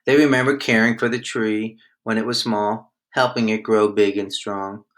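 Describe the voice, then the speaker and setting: A man reading a children's storybook aloud in an expressive storytelling manner. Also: fairly low pitch, moderate pace. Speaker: storybook reader.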